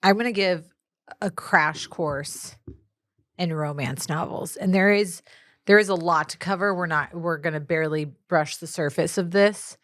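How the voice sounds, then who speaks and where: Woman with a somewhat deep voice. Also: unhurried, no echo, clean studio sound. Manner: chatty, playful, self-deprecating. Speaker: podcast co-host. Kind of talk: casual podcast chat.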